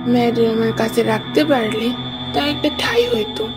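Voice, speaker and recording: Casting: woman playing a fussing old village mum for a cartoon, high voice, music behind. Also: fairly even intonation, moderate pace, lightly acted. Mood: kindly, coaxing. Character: kindly elderly village mother fussing over her son. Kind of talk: cartoon story acting.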